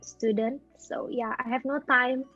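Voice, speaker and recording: A bubbly young woman chats as a podcast guest. Her voice is a little high. The recording sounds dull and lo-fi, with faint music behind. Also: measured pace. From Indonesia. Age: young adult. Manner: bubbly, easily excited. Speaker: guest, final-year student. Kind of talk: casual chat.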